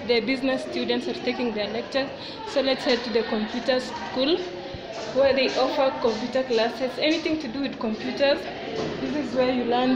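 A woman's voice: high-pitched, dull audio. A young woman from Kenya giving a casual walking tour of her college, talking to viewers as guys while filming on her phone indoors. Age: young adult. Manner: addresses viewers as guys, chatty and repetitive. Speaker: student vlogger. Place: college corridors and classrooms, indoors. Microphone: handheld phone camera. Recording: phone recording with loud crowd noise. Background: noisy crowd of students.

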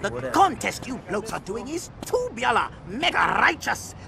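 High, bombastic showman. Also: very animated, measured pace, vocal fry, crowd noise, exaggerated voice. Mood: smug, hyped. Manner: bombastic, forced hip slang. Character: smarmy, flamboyant British skate-video director, desperately trendy. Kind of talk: video game cutscene acting. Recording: loud music behind the voice.

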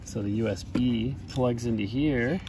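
A man's voice: mid-range voice, a little slow. A middle-aged man chatting casually as he unboxes a product, patiently prompting with questions. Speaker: father reviewing a product with his child. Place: outdoors on a grass lawn. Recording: outdoor handheld recording, distant, noisy ambience.

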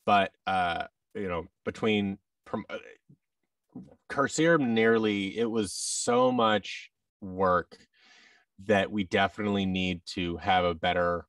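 An American man talks casually as an interview guest, in a low voice. His phrasing is halting and often restarts. The sound is dry, though he sits a little away from the microphone. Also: a bit bright, dry recording, recorded from a distance. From United States. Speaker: guest, voice actor and audio drama producer. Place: large office room, indoors. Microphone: headset with boom-arm microphone on a video call.

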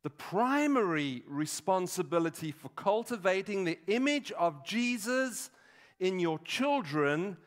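A man in his sixties preaching a sermon in a deliberate, emphatic way, pausing between short phrases. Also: high-pitched, sing-song. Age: 60s. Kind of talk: sermon with statistics and teaching. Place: large dim auditorium stage at a lectern.

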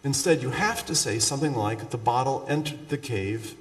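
A man giving an academic linguistics lecture, haltingly searching for words and adding explanatory asides as he talks through example sentences. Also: mid-range voice. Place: large reverberant lecture room. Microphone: far from the speaker. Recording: echoey hall, distant microphone.

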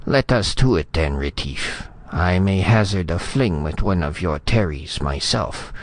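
A man's voice: low-pitched, lively intonation, unhurried, no room sound. A man playing a boisterous, lecherous alien king in a storybook reading, with grandly formal, jovial speech.

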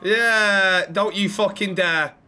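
A man's voice: high-pitched, steady tone, slow, bright, forceful tone, smooth, soft background music. A man streaming improvised role-play in an online game, talking bluntly with plenty of swearing and exasperation.

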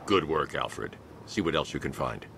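An actor playing a grim, commanding armored vigilante in a video game, terse and measured with a gravelly voice. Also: male voice, expressive, background noise, exaggerated voice. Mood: stern, measured. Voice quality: very low gravelly voice. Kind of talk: video game cutscene acting.

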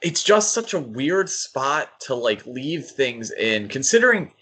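Man, average pitch, casual critical podcast talk, halting delivery. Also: very animated, sharp tone, husky. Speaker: podcast co-host. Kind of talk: casual critical comics discussion.

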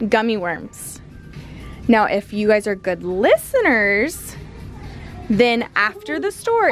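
Chatty, lively woman vlogging in a store. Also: fairly high pitch. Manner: chatty, animated, pricing items aloud. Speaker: family vlogger. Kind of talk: casual grocery haul vlog. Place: large store aisle indoors. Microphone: handheld camera at arm's length.

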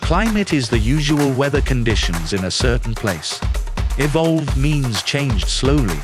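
A man reading a children's nonfiction picture book aloud in a clear, patient, teacherly way. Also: medium pitch, sing-song, unhurried. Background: background music.

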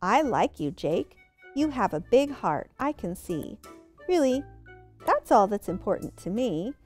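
A woman voicing a swift, kind female squirrel who speaks gently and reassuringly in a bedtime story. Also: mid-range voice, very animated, unhurried, faint music behind.

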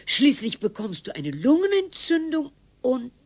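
Sardonic old wife in a radio play, husky, swoopy intonation, dry n weary. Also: female voice, sits high, wildly swooping pitch, unhurried, full character voice. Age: older.

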